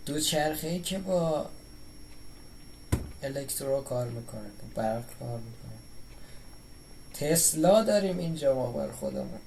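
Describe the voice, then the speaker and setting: A man's voice: medium pitch, big pitch swings, racing, pauses often, a little hiss or hum, low-quality recording. A man giving casual commentary as he streams a video game. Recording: loud game music behind. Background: video game music and dialogue.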